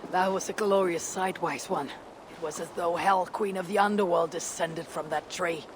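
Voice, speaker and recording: An actress playing a tough, proud female Viking warrior in a video game, deliberate and solemn, with a husky, gravelly voice. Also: on the low side, steady tone, natural voice. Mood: solemn, curious. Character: tough, proud Viking woman warrior, loyal to her clan. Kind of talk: video game cutscene acting. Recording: noisy outdoor game ambience.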